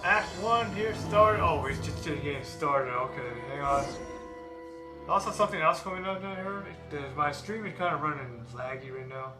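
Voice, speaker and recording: A man's voice: a little high, animated, moderate pace. Casual rambling male streamer in a roomy space. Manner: rambling, informal. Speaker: game streamer. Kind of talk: casual live gameplay commentary. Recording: roomy mic, game music behind.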